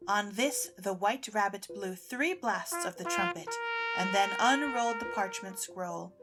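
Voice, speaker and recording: A woman's voice: mid-range voice, sing-song, a little slow. A woman reading scripted storybook narration for an audio drama. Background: music.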